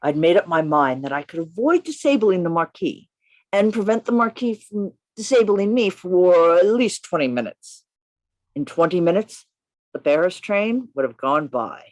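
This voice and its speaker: A woman playing a bold gentleman adventurer in a radio play, narrating his tale in an emphatic, theatrical way. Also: on the low side, lively intonation, moderate pace, close to an everyday voice. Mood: resolute. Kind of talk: radio play reading, first-person narration. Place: remote reading over a video call.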